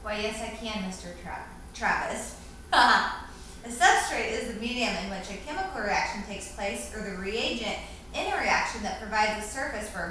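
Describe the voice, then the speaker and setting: A woman's voice: medium pitch, pushed and bright. A woman in the role of a student, giving scripted answers in a formal, careful recital of definitions. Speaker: student answering quiz questions. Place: reverberant indoor room, distant microphone. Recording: very echoey room, far mic, faint hiss.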